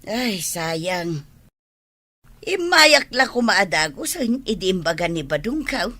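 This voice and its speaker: A woman's voice: mid-range voice, very animated, slow, sharp tone, exaggerated voice. A woman playing a nosy village woman in a comedy radio drama, with an Ilocano accent. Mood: probing.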